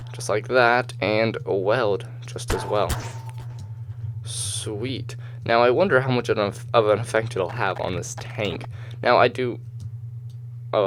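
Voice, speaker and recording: Male gamer, low and slightly hoarse, casual thinking-aloud commentary recorded over game footage. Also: slight background noise. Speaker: gamer, host of a gameplay video series.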